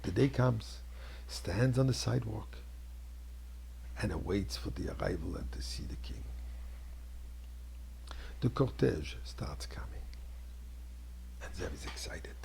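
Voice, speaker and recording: A man, a rabbi, telling a story in a dramatic sermon, with a husky, gravelly voice and emphatic delivery that moves between hushed and declamatory. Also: fairly low pitch, expressive, slow pace, long pauses, poor audio. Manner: theatrical, emphatic delivery, swinging between hushed near-whispers and loud declamation.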